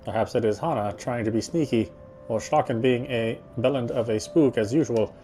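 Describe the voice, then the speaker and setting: A man reading first-person story narration aloud in a theatrical, fussy gentleman's manner. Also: medium pitch, normal speed, soft background music. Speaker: game player reading aloud.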